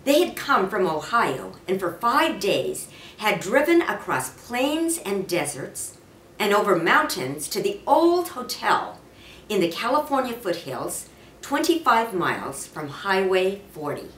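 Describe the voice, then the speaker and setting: A woman in her sixties reading a children's story aloud expressively, with deliberate, careful phrasing. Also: mid-range voice, big pitch swings, fairly bright tone. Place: indoor office or lounge. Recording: roomy, distant mic with faint noise.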